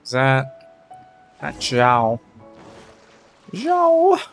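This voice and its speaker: A man's voice: mid-range voice, wildly swooping pitch, extremely slow, creaky. A man casually commenting on a game as he plays, playfully echoing bits of it. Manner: echoes game dialogue playfully. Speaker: streamer playing and reacting to a game. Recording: game music behind close voice.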